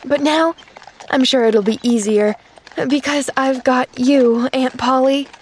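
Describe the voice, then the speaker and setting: A woman's voice: high-pitched, fairly even intonation, slow, a little hiss or hum. A woman voicing an earnest, talkative little orphan girl in a storybook, with breathless, rambling chatter. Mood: wistful, brave. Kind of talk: storybook character voice.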